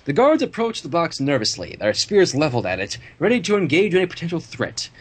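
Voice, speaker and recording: A story reader narrating fan fiction aloud with very animated intonation. The voice is mid-pitched and husky, expressive through every sentence. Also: fairly quick.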